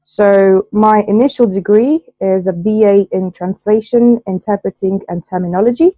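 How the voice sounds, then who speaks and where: A woman's voice: medium pitch, dry recording. Woman on a tinny line. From Romania. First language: Romanian. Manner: measured and articulate. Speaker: interview guest, interpreter. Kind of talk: casual interview chat.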